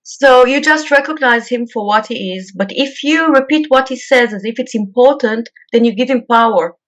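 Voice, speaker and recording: A woman's voice: fairly high pitch, normal speed, fairly bright tone, rough recording. A woman from Israel with an Israeli accent, a peace activist guest speaking bluntly and emphatically in an interview. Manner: blunt and emphatic, repeats words. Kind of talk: interview talk.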